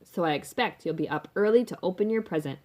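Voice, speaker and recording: Woman reading as a gentle, loving mother. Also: fairly low pitch, fast talker, close to an everyday voice.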